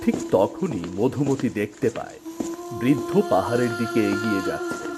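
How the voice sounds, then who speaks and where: A man's voice: medium pitch, normal speed, loud music behind the voice. A man giving scripted narration for a fairy-tale cartoon.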